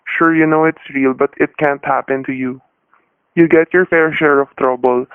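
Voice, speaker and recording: Guy playing folksy middle-aged husband narrating an old radio suspense show, slightly high voice, thin old recording. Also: steady tone, normal speed, clean tone, dry recording, phone-quality sound, natural voice. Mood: grim, reflective. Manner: folksy, colloquial. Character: weary, plainspoken middle-aged husband telling his ordeal. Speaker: narrator and lead character. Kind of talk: old-time radio suspense acting, first-person narration. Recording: dry, band-limited old radio recording.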